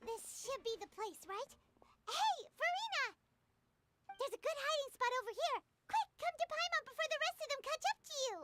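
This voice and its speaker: Casting: childlike game fairy companion; eager, squeaky, sky-high voice with animated swings. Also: female voice, normal speed, faint music behind, full character voice. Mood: urgent, excited. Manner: excitable, refers to herself in third person. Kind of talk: video game voice acting.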